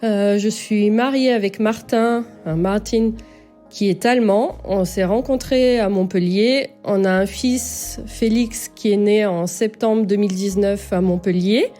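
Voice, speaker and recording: A woman in her late thirties from France, giving a personal testimony as a podcast guest, pausing often with fillers and restarts. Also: medium pitch, fairly even intonation, warm tone, faint music behind. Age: 30s. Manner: hesitant, with many fillers and restarts.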